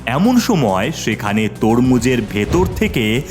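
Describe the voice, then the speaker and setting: A male storyteller delivers dramatic scripted horror narration. His pitch is middling and his pace is slow, with music clearly behind him. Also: very animated.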